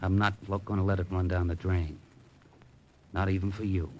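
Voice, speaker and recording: A man's voice: deep voice, level tone, normal speed, a little mellow, muffled recording, slight background noise, lightly acted. A male actor playing a tough, cynical American hard-boiled hero and narrator in a 1940s radio drama. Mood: stubborn cynical. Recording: old radio recording with music behind.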